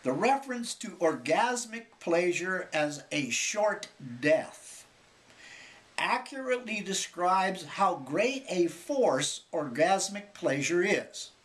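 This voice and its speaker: Male author-narrator for a spiritual audiobook: unhurried, measured phrasing, frequent pauses, animated intonation. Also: high-pitched. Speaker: author reading own spiritual book.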